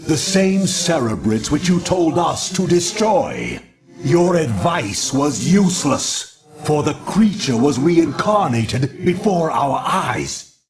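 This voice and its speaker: Imperious alien official: slow, sweeping intonation, formal, haughty, full of disapproval. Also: male voice, on the high side, very animated, over music, full character voice. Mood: accusing. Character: stern, haughty alien judicator, disapproving and accusing. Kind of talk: scripted video game acting.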